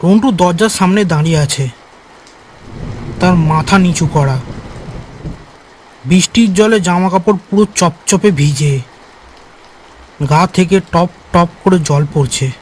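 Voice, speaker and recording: A man's voice: high voice, takes it slowly, pauses often, darkish tone, slight background noise, poor audio. A man narrating a scripted horror story for a cartoon.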